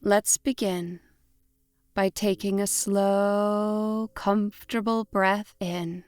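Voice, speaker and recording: A woman leads a guided relaxation at an extremely unhurried pace. Her delivery is gentle and deliberate, leaving long pauses between short phrases. Soft instrumental music plays behind her voice. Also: mid-range voice, little pitch movement. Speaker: meditation guide. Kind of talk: guided imagery meditation narration.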